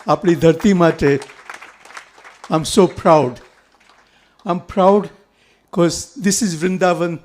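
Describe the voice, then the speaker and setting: A man with a slightly high voice speaks with pride and emphasis. His delivery is declamatory, stressing words as if addressing a gathering. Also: a little mellow. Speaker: community speaker. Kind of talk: speech to camera.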